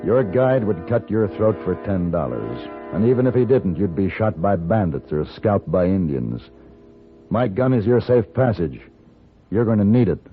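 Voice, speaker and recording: A man's voice: fairly low pitch, very animated, moderate pace, faint music behind. A man playing a suave, sardonic gunfighter for hire, cool and commanding, in a 1950s radio western. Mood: hard warning. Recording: narrow tinny old radio recording.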